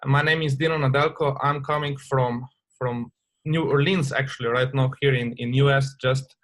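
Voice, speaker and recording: A man from Slovenia hosts a conference call and introduces his guests. His voice is middle-pitched and flat, and he speaks in halting, hesitant English with many pauses and fillers. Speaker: call host.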